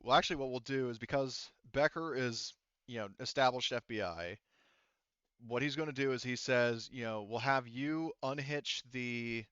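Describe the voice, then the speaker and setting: Guy gm-ing a tabletop game, rambly and stop-start, crisp voice but slightly dull audio. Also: mid-range voice, fairly bright tone, no echo. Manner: halting, explains in rambling detail. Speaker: game master. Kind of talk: casual tabletop game narration.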